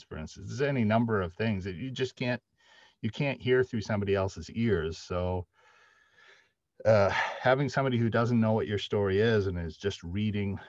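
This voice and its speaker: Low, halting man on a dull call. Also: dry recording. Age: middle-aged. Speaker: panelist, audio drama writer. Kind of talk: conversational explanation on a panel. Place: indoor room, video call. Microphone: video call. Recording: dull, lo-fi video-call audio.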